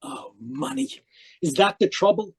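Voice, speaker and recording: High-voiced, desperate zealot played by a man. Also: wildly swooping pitch, unhurried, vocal fry, darkish tone, breathy edge, heavily acted. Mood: urgent, determined. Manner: fervent, pleading, intense. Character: obsessed, desperate zealot younger brother turned killer. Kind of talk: old-time radio mystery acting, dramatic narration. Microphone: home microphone on a video call.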